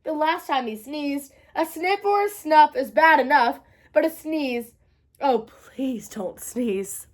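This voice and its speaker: Woman as a plaintive, high-voiced storybook bear. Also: lively intonation, slow. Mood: plaintive protest. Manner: plaintive, protesting. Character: aggrieved, pleading storybook bear.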